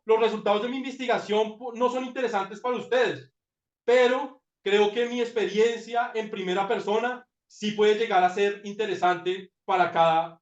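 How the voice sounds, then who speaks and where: High-voiced man giving a reflective lecture. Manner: reflective, with frequent fillers and repeated words. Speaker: lawyer presenting his doctoral thesis. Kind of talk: master class talk. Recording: roomy, dull lo-fi sound.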